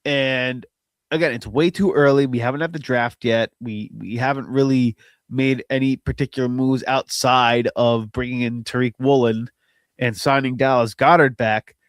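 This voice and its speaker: A man's voice: medium pitch, steady tone, normal speed, no echo. Male podcast host, nasal and stuffed up, dry close sound, rambling opinions. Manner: rambling, opinionated, self-deprecating. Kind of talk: casual solo sports commentary.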